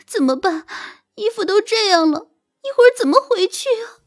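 An actress playing a haughty, whiny, scheming young royal concubine in an audio drama, with an indignant, plaintive manner. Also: female voice, very high voice, lively intonation, moderate pace, no echo, studio quality, faint music behind. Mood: indignant, whining.

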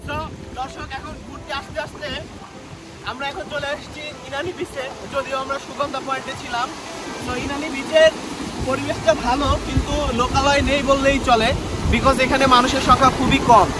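A man's voice: very high voice, level tone, moderate pace. A young man from Bangladesh talking casually to his phone camera while standing in the sea, loose and chatty, with many fillers and English words mixed in. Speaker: travel vlogger. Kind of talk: casual travel vlog to camera. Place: outdoors in the sea and on a beach. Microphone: phone held at arm's length. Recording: windy beach noise and surf.

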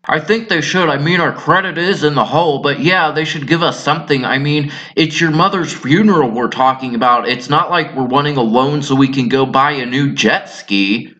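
A man's voice: on the high side, normal speed, few pauses, pushed and bright. A man voicing a middle-aged, blunt working-class widowed father in a cartoon, resigned and grieving.

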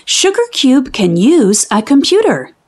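A woman hosting a children's read-aloud, reading a storybook expressively with deliberate, carefully enunciated teaching delivery. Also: high-pitched, very animated, darkish tone, slightly rough.

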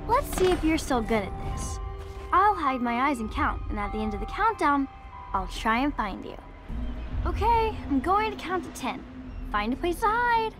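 Eager, high-voiced little princess. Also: female voice, big pitch swings, normal speed. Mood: excited, playful. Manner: bright, pleading, childlike. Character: eager, playful young girl, an empress's daughter. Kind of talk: scripted game dialogue. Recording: voice under loud music.

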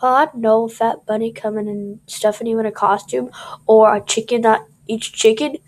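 A woman's voice: on the high side, steady tone, normal speed. A young woman with a scratchy voice doing casual gameplay commentary, jittery and cursing as she talks to viewers.

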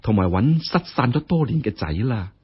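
A man's voice: mid-range voice, lively intonation, moderate pace, slightly rough. A man voicing a humble, grateful, middle-aged poor woman in a story, earnest and deferential. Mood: humble gratitude. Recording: dull, muffled lo-fi audio.

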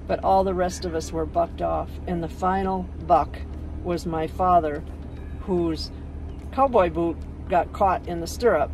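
An older woman in the passenger seat of a van, slowly and matter-of-factly recalling her childhood. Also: on the low side, darkish tone, faint music behind, poor audio. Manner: slow, matter-of-fact storytelling. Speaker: vlogger's mother. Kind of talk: reminiscing in casual chat.

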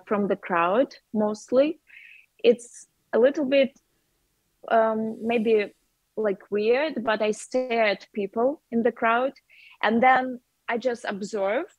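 A woman's voice: a little high, dry recording, rough recording. A woman in her thirties with a Russian accent, chatting as the guest on a video call interview through wireless earbuds, with lots of fillers and laughter. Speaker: artist guest. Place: bright room with a wooden ceiling.

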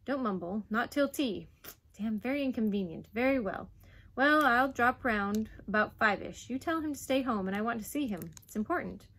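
An imperious old Duke from a storybook, acted out by a woman reader. He is gruff and bad-tempered, barking out impatient questions and orders. The voice is pushed up and loud, with a slightly husky edge. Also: a little high, animated, moderate pace.

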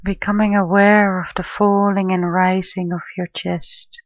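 A woman leading a guided meditation in a gentle, soothing, unhurried manner, leaving long pauses between phrases. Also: medium pitch, flat delivery, no echo, highs cut off. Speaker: meditation guide, life coach and therapist.

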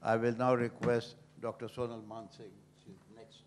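A man moderating a panel calmly, thanking one speaker and introducing the next. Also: mid-range voice, normal speed, slight background noise.

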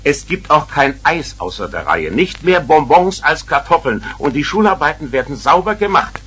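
A man's voice: fairly high pitch, very animated, normal speed, fairly bright tone. An actor playing a grumpy, strict father who blusters and scolds in a 1970s children's radio play. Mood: stern. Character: grumpy, strict father of two young girls.

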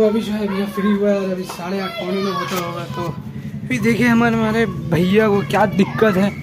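A man, chatting casually and colloquially to viewers as a motovlogger, often repeating phrases. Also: high voice. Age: 20s. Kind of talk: casual chat to viewers, riding commentary. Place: outdoors on a moving motorbike, partly in a small shop. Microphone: action camera. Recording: noisy, with road and vehicle sounds.

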